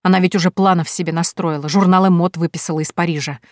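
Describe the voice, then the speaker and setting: A woman's voice: on the low side, fairly even intonation, quick pace, darkish tone, no room sound, natural voice. A woman voicing a scheming young man in debt who talks in a curt, sneering way. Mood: spiteful. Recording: dry close recording.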